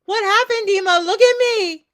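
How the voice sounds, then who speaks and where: A woman's voice: very high-pitched, normal speed, fairly bright tone. An actress playing an anxious, guilt-ridden mother of a college-age daughter in a staged play reading, pleading and insistent.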